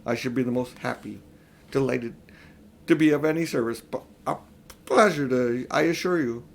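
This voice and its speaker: A man's voice: mid-range voice, moderate pace, vocal fry, close to an everyday voice. A man voicing a nervous, obsequious old uncle in a children's storybook, stammering and eager to please. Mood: fawning. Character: flustered, fawning old uncle, a petty magician. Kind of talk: children's storybook read aloud.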